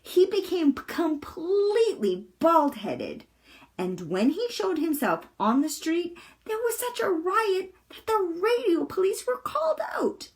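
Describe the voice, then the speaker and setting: A woman's voice: very high-pitched, sing-song, takes it slowly, darkish tone, pitched above the performer's own voice, exaggerated voice. A woman voicing a cheerful, bold, eccentric young girl from a storybook, boastful and playfully emphatic. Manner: playful, emphatic, matter-of-fact tall-tale telling.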